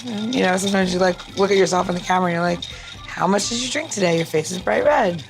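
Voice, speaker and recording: A woman's voice: on the low side, fast talker, vocal fry, faint music behind, low-quality recording. A woman hosting a podcast, chatting informally as she talks through mixing a drink, often checking in with the listener. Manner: chatty, informal, frequent "like" and "right?". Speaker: podcast host and bartender. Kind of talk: casual chat, talking through a cocktail demonstration.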